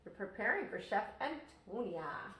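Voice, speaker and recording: A woman teacher reading a children's story aloud expressively, pausing to ask her listeners questions. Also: mid-range voice, very animated, pushed and bright. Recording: echoey room, distant microphone.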